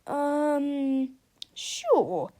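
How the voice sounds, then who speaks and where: A woman reading a children's storybook as an eager, soft-hearted, rather sheepish schoolboy. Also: sits high, very animated, extremely slow, voice pushed up, heavily acted. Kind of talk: children's storybook read-aloud.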